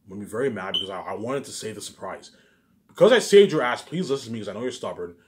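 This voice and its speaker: A man talks informally to his audience in a slightly low voice. He moves at a very quick clip, circling back on the same phrases and restarting them. His delivery is emphatic, rambling and peppered with swearing. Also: very animated, background noise. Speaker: vlogger. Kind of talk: rambling informal monologue to camera.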